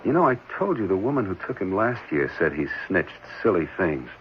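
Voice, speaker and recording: An actor playing a troubled, suspicious husband with a deliberate, brooding manner in a 1970s radio drama. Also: male voice, low-pitched, big pitch swings. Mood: puzzled. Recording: muffled 1970s radio broadcast, faint noise.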